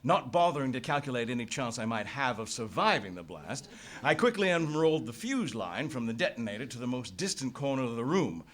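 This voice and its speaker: Man playing a stoic radio-play adventurer, noticeably varied pitch. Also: sing-song, a little fast, natural voice. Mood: tense, dramatic. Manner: formal, dramatic storytelling, hesitant in dialogue. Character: beleaguered, stoic male adventurer hero narrating his escape. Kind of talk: live radio play acting, scripted first-person narration. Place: theatre stage, live performance. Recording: noisy live stage recording.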